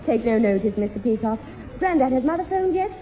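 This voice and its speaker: An actress playing a kindly but exasperated young big sister in a 1960s radio sitcom, speaking with a Northern English accent. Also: female voice, on the high side, expressive, a little fast, over loud music, natural voice. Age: young adult. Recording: muffled 1960s radio broadcast.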